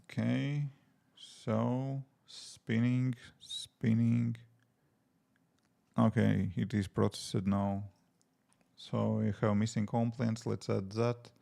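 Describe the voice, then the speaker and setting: A man with a Latvian accent talks in a relaxed, thinking-aloud way, with plenty of fillers. His voice is low and heavily gravelly, with a mellow, dark tone. He sounds like a developer chatting casually while he works at his desk. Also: slow pace. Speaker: app developer streaming live. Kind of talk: casual live-stream chat while coding. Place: home desk.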